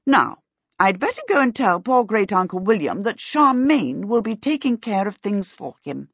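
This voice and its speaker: A woman's voice: medium pitch, very animated, moderate pace, breathy edge, no echo, dull audio. A woman voicing a bossy, rich older aunt who gives commands in an audiobook. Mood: imperious. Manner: commanding, decreeing. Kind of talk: audiobook character voice.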